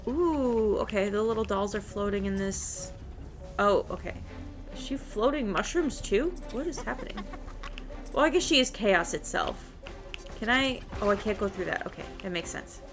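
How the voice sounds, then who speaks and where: A woman giving casual, excitable gameplay commentary as she plays. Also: medium pitch, normal speed, music in the background. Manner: excitable, gushing. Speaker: gamer, channel host. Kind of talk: casual gameplay commentary, reading quest dialogue aloud.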